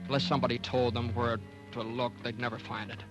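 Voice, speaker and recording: An actor playing a scheming doctor and husband plotting murder in an old-time radio drama, hesitant under strain. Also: male voice, mid-range voice, little pitch movement, fast, close to an everyday voice. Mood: grim, controlled. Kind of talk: old-time radio drama acting and first-person narration. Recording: old radio broadcast with music.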